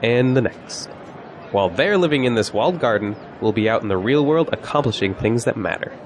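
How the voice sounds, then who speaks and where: An actor playing a confident, sarcastic young college graduate in an audio drama, with wry, joking delivery. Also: male voice, medium pitch, animated, brisk, close to an everyday voice. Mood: upbeat, sardonic. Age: young adult. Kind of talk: scripted audio drama dialogue. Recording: faint background noise, outdoor crowd ambience.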